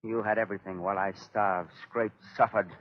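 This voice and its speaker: An actor playing a dying, mad inventor who is bitter and vengeful, in a theatrical, grandiose 1930s radio drama style. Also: male voice, on the low side, fairly even intonation, brisk, lightly acted. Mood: bitter, menacing. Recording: dull vintage 1930s radio recording.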